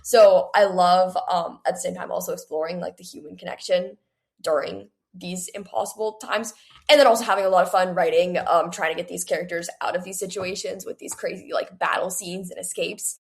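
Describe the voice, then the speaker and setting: A woman's voice: fairly low pitch, fairly even intonation, brisk, vocal fry. A young woman, speaking as an interview guest in an eager, rambling, casual way full of filler likes. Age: late teens, young adult. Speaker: author, podcast guest.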